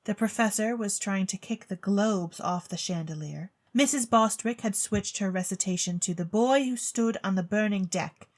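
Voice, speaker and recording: A woman reading a story as a theatrical narrator, with expressive, precise literary delivery. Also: mid-range voice, normal speed, few pauses. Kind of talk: theatrical scripted storybook narration for an audio drama. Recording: music bed under the voice. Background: music.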